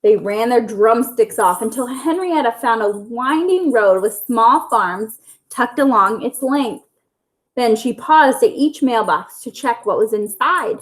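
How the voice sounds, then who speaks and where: A woman reading a children's storybook aloud in an expressive narrating style, as at a school story time. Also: a little high, measured pace, low-quality recording.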